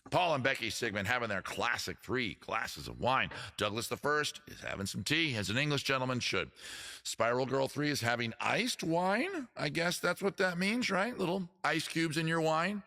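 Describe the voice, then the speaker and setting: A man with a raspy voice hosting a casual livestream show, chatting quickly and loosely with viewers in the chat. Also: medium pitch, expressive, bright, forceful tone. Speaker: livestream music show host.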